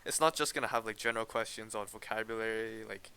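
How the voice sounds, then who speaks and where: A man's voice: lowish voice, fast talker, sharp tone, clean tone, low-quality recording. A teenage boy from Canada co-hosting a podcast, chatting casually and hesitantly, often restarting and laughing as he explains. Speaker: podcast co-host. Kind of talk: casual chat.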